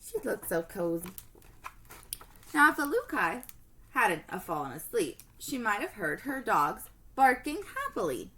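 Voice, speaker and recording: A woman teacher reading a storybook aloud to children in an expressive storytelling manner. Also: mid-range voice, a little slow, slight background noise.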